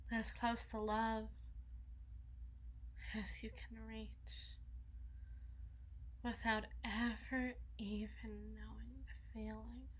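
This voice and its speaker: Woman voicing young female vampire, ASMR roleplay, flustered, needy, fairly high voice, unhurried, whispery asides. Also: level tone, rough recording. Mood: musing, coaxing. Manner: stammering, breathy asides, dropping into whispers.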